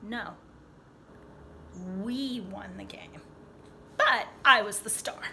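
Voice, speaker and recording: A woman voicing an eager, determined young boy from a storybook in an earnest, excitable way. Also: medium pitch, slow, gravelly, a little hiss or hum, exaggerated voice. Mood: proud.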